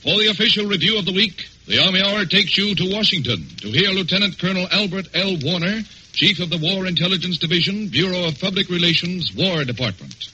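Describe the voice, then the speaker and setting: A man's voice: sits high, a little fast, sharp tone, husky, rough recording. An American man making formal announcements in 1940s radio style on a vintage broadcast.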